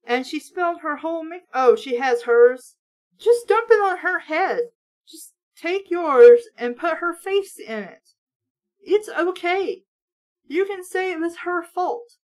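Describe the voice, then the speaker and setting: A woman's voice: sits high, a little mellow. A woman reading a story aloud and breaking in with sarcastic, exasperated, sweary commentary as host of a mock book show.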